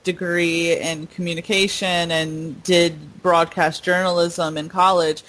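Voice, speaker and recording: A woman's voice: fairly low pitch, a bit bright, low-quality recording. A young woman hosting a casual interview over a video call, bubbly and giggly, firing off questions. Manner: bubbly, giggly, asks questions in quick bursts. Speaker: interviewer, host.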